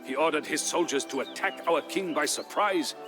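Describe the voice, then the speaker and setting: An actor playing a solemn Visigothic warrior chieftain, telling his people's history as measured, theatrical narration for a strategy game. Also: on the high side, close to an everyday voice. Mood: stirring, intense. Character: grave Visigothic warrior chieftain, the dead king's brother-in-law, recounting history. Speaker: story narrator. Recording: narration over prominent music.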